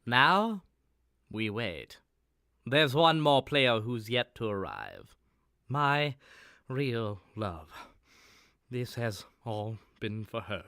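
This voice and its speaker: An actor playing a scheming, condescending villain butler in a comedic suspense audio drama. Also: male voice, mid-range voice, sing-song, drawn-out words, long pauses, bright, forceful tone, clear, resonant tone, no room sound, studio quality, heavily acted. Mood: smug, condescending.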